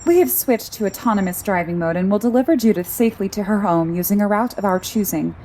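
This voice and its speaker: A woman playing a calm, controlling car computer in an audio drama, formal and clinical, coldly protective and always politely commanding. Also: mid-range voice, quick pace, a little hiss or hum, lightly acted. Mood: coldly insistent.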